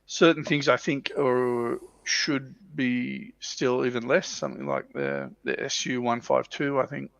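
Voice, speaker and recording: A man giving his opinions in casual, halting chat as a panelist on a hobby livestream, often rephrasing as he goes. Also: mid-range voice, animated, creaky, a bit bright, no room sound. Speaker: livestream panelist on a tabletop wargaming show.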